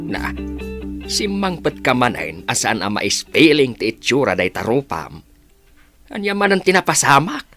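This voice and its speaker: A man's voice: medium pitch, very animated, normal speed, fairly bright tone, faint music behind. A man acting a questioning older village father in an Ilocano radio drama.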